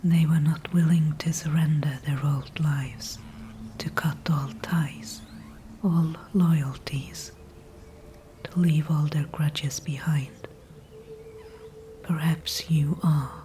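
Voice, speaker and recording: A woman voicing an ancient, calm and wise female tree spirit in an ASMR audio roleplay, speaking only in a soft whisper with formal, old-fashioned phrasing. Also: sits low, slow, long pauses, slight background noise. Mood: calm, knowing. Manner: soft unvoiced whisper throughout.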